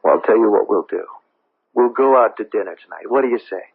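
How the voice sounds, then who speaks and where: A man's voice: lowish voice, fast talker, vocal fry, breathy edge. An actor playing an earnest, slightly hesitant middle-aged businessman husband in a 1970s radio drama. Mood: concerned, earnest. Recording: tinny, phone-like old radio broadcast.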